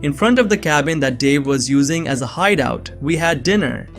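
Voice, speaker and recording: A man's voice: fairly high pitch, animated, normal speed, fairly bright tone, soft background music. A young man giving earnest, scripted first-person narration of a suspense story. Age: young adult.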